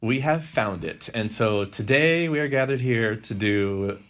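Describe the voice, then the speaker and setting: Low-voiced man telling a halting casual story. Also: expressive. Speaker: podcast host.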